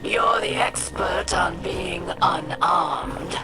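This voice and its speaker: A woman's voice: on the low side, drawn-out words. A woman playing an imperious female alien warlord in a video game, speaking in a haughty, biting, sarcastic manner. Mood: threatening, mocking. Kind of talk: video game cutscene acting. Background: cinematic music.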